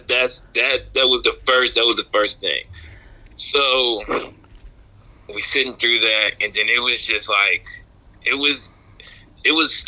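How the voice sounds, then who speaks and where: A man calling in as a podcast guest, telling an anecdote casually in a halting, repetitive, slangy and wry way. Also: mid-range voice, sharp tone, poor audio.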